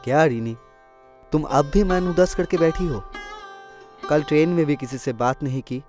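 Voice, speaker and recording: A man's voice: fairly high pitch, normal speed, a little mellow, faint music behind, lightly acted. A man voicing an earnest middle-class father in a Hindi cartoon story. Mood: gently reproving.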